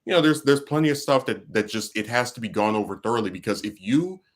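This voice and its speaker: A man's voice: lowish voice, animated, fast talker. A man hosting a casual talk stream, venting about politics in a rambling way, full of fillers and restarts. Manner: frustrated, venting. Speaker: livestream host.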